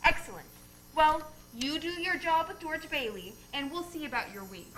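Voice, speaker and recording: An actress playing a calm, authoritative female superintendent of angels in an old-time radio play. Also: high voice, soft background music, rough recording. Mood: brisk. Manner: brisk, authoritative, kindly.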